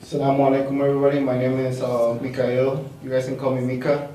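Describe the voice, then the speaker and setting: A young man from Argentina, a student, introducing himself in a measured way. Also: mid-range voice, monotone, dark tone, slight background noise. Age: young adult. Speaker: student panelist. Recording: very echoey hall, far mic.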